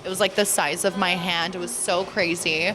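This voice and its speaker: Gushing woman, middle-low voice. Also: moderate pace, noisy background. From California, United States. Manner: excited, gushing, frequent "like" and "literally". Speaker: DJ and producer being interviewed. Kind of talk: casual chat.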